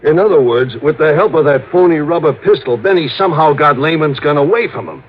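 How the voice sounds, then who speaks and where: An actor playing a brisk, gruff, impatient police sergeant in an old radio crime drama. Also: male voice, fairly high pitch, expressive. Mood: urgent. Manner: blunt, commanding. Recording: muffled, lightly noisy old radio recording.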